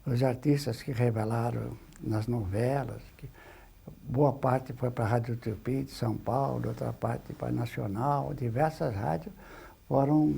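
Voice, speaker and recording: Gravelly, husky Brazilian man reminiscing. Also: medium pitch, mellow. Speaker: documentary interviewee. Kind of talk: reminiscing in an interview.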